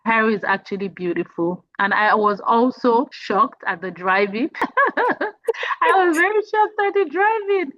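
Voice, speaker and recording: A woman's voice: on the high side, expressive, measured pace, dry recording, muffled recording. A woman from Nigeria in her thirties, an emotional wellness coach chatting earnestly as an interview guest and laughing easily. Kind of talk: casual interview chat.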